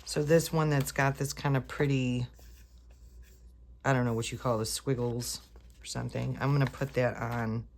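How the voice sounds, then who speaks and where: A woman's voice: rumbling low, fairly even intonation, normal speed, a little mellow, slight background noise. A woman rambling casually to her viewers as she decorates a planner, correcting herself as she goes, her voice congested and a little off. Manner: chatty, self-correcting, talks to viewers while working. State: congested, voice a bit off. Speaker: planner vlogger. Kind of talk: casual rambling craft chat.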